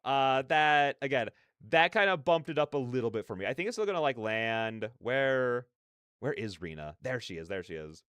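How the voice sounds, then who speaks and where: A man hosting a casual reaction livestream, rambling in chatty, slangy speech with an animated, self-deprecating manner. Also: mid-range voice, moderate pace, smooth, no echo. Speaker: livestream host.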